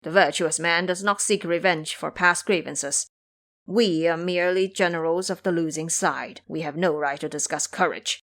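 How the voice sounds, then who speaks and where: A woman's voice: fairly low pitch, fairly even intonation, moderate pace, fairly bright tone. Woman playing a humble, deferential monk. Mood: pleading. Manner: deferential, earnest. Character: humble, dutiful male monk disciple, deferential younger brother.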